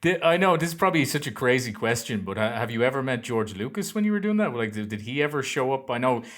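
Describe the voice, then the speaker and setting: A man hosting a casual interview podcast, his voice sitting in an ordinary middle pitch. He asks eager, fan-like questions, often tripping over and restarting his words in his excitement. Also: quick pace. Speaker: podcast host.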